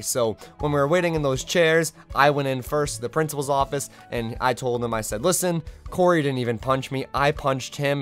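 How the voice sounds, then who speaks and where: A young man, hoarse from losing his voice, telling his own school stories in a chatty, self-deprecating way as a video storyteller. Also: on the high side, a bit bright. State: hoarse, recovering lost voice. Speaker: vlogger. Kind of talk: casual storytime monologue.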